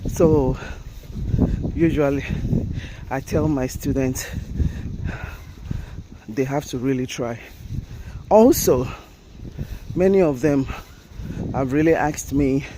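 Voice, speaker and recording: A man's voice: mid-range voice, slow pace, long pauses, dark tone, poor audio. A man with a Nigerian accent, an English teacher chatting casually while walking and laughing now and then. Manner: chatty, laughing, drops in Japanese fillers. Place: outdoors by a road. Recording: outdoor traffic noise.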